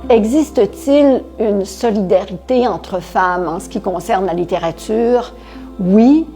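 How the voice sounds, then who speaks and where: Slow, measured woman writer. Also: mid-range voice, warm tone, soft background music. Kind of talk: reflective interview testimony.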